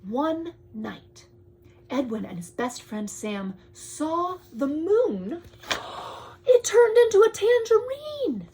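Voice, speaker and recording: A woman reads a picture book aloud to a young child in drawn-out phrases, often dropping to a hushed tone and adding chatty asides. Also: very high voice, very animated, slight background noise. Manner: addresses the child with questions and pointers. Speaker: storyteller reading to a young child.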